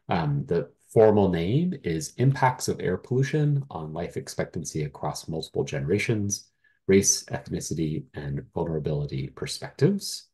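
A man's voice: sits low, mellow. A man with a stuffy nose from a cold, giving a hesitant, apologetic presentation over a video call. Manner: apologetic, hesitant with many ums. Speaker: nonprofit presenter. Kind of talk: meeting presentation.

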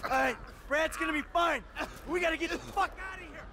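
An actor playing a wounded, desperate bank robber in a video game, shouting in pain. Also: male voice, very high-pitched, brisk, crowd noise. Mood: panicked, pained. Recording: very loud sirens and action effects behind.

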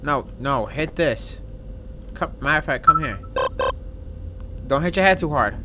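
A man in his twenties giving casual, slangy, jokey gaming commentary with some swearing and excitable reactions. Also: fairly high pitch, measured pace, over music. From Dominican background. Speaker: gaming video host. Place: indoor room, gaming setup. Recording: noticeable background game noise.

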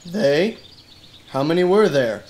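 An actor voicing a skeptical, hard-boiled private eye with dry, deadpan delivery in a noir detective radio play. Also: male voice, on the high side, slow pace. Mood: dry, probing. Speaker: detective and narrator.

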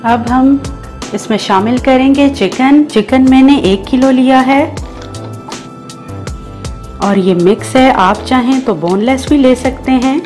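A woman's voice: high voice, takes it slowly, long pauses, a little mellow. A woman with a Pakistani Urdu accent hosting a cooking show, giving patient step-by-step recipe instructions with enthusiasm for the dish. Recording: voice-over with faint background music.

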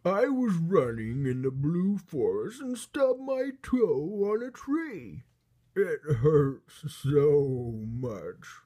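A reader voicing a huge, friendly, needy male giant in a children's storybook, slow and plaintive. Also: medium pitch, sing-song, warm tone, pitched above the performer's own voice, exaggerated voice. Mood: tearful, pleading.

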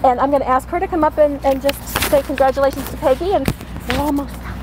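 Lady hosting an outdoor ceremony, high soft voice, lots of ums, faint traffic behind. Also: fairly even intonation, fast. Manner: warm, with frequent "um" fillers. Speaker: senior center organizer hosting a ceremony. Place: outdoors at a podium in front of a brick building. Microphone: podium mic.

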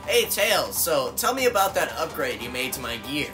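A man's voice: medium pitch, big pitch swings, moderate pace, over music, close to an everyday voice. A man voicing a cocky, wisecracking young cartoon hedgehog racer in a flippant, improvised comedy fandub. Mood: cocky, teasing. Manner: flippant, teasing.

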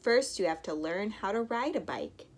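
A woman's voice: mid-range voice, lively intonation, normal speed, breathy edge. A woman voicing a patient, encouraging father frog in a children's storybook. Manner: coaxing, instructive.

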